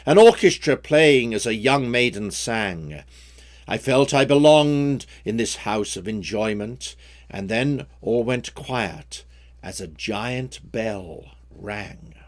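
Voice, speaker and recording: Slow, expressive man reciting poetry. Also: medium pitch. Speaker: poet reading own poems. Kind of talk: expressive recitation of rhyming story poems.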